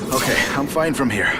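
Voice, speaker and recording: An actor voicing a hesitant middle-aged male scientist in a video game cutscene, coughing and explaining haltingly with many fillers. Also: medium pitch, very noisy, natural voice.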